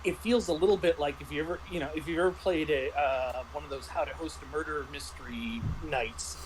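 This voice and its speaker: Higher-voiced man explaining over noise. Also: brisk, poor audio. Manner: explanatory, restarts and rephrases in mid-thought. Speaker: game master. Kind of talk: casual review discussion.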